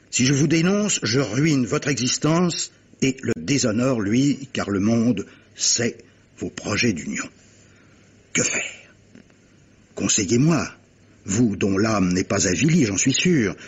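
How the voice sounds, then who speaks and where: A grave gentleman captain in a vintage French radio mystery. His voice is very rough and husky, set at an ordinary male pitch. He speaks formally and insistently, measuring out each phrase like old stage-trained radio acting. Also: dull audio. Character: grave gentleman captain pressing a guilty woman with hypotheticals. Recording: old radio drama recording.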